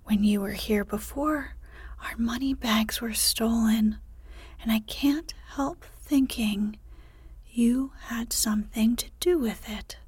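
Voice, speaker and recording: A woman voicing the grumpy, fearful wife of a giant, speaking in a glum, breathy whisper. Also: fairly high pitch, takes it slowly, no room sound, heavily acted. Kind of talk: whispered storybook reading.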